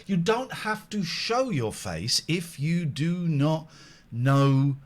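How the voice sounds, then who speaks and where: A man's voice: fairly high pitch, big pitch swings, takes it slowly. A man hosting a late-night call-in show, chatting casually and rambling between jokes. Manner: chatty, rambling, jokey, self-deprecating. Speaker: radio show host.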